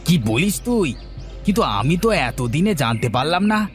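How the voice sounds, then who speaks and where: A man's voice: a little high, big pitch swings, moderate pace, faint music behind. A man playing a resentful, complaining young younger son in a fairy-tale cartoon. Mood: aggrieved. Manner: sulky, complaining.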